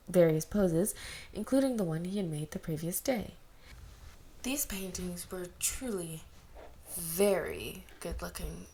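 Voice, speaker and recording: A woman's voice: fairly low pitch, darkish tone, a little hiss or hum. A woman giving a scripted story narration as an amateur fiction reader.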